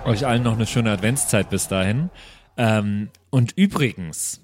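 A man's voice: on the low side, a little slow, noisy background. A man playing in an improvised tabletop game, talking casually and a little hesitantly, as if thinking aloud. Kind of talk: improvised casual in-character play.

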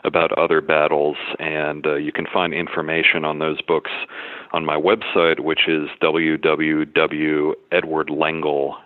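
A man, a historian and author, answering interview questions in a measured, explanatory way with some hesitations. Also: very deep voice, completely flat delivery, dry recording. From United States. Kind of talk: podcast interview. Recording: dry, tinny phone-like line.

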